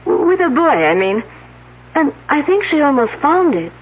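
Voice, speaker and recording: A woman's voice: sits high, very animated, moderate pace. An actress playing a reserved woman witness in a mystery drama, confiding primly in formal, slightly stilted phrases. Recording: thin, phone-like old broadcast audio.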